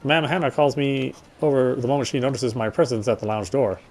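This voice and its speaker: A man's voice: mid-range voice, steady tone, fairly quick, music in the background. A man reading a visual novel's narration aloud with casual asides, sometimes stumbling and rereading.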